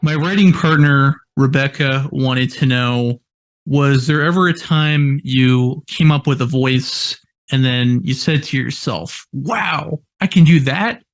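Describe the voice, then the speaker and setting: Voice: mid-range voice, takes it slowly. An interviewer reading out listener questions in a plain way.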